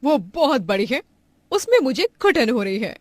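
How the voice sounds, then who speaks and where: A woman's voice: high-pitched, big pitch swings, moderate pace, no room sound, clean studio sound. A woman voicing a proud, modern young daughter-in-law with a huffy way of talking in a cartoon story. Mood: irritated. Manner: huffy, complaining.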